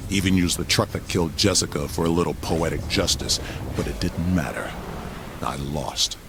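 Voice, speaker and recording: A man's voice: deep voice, normal speed. An actor playing a bitter, vengeful crime gang boss recounting his grudges in a video game audio log. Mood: defeated, bitter. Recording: noisy game capture.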